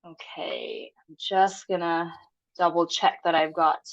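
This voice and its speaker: A woman's voice: lowish voice, measured pace, creaky, muffled recording. A woman with a cold, sniffling now and then, leading a live online exercise class in a friendly, encouraging, chatty way. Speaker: exercise instructor. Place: home room, video call.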